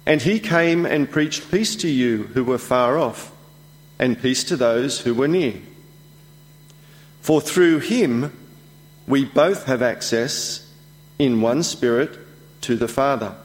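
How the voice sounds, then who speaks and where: A man reading a Bible passage aloud in a slow, measured way during a church service. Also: mid-range voice. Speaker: scripture reader. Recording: long echo of a church hall.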